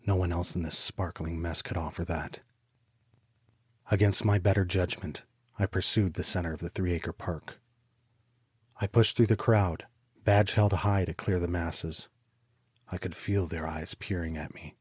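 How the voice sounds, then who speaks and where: An actor playing a troubled homicide detective, narrating his case in a deliberate, brooding, literary style for an audio drama. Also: deep voice, steady tone, a little mellow, husky, dry recording, clean studio sound. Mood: bitter, weary. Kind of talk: scripted first-person noir audio drama narration.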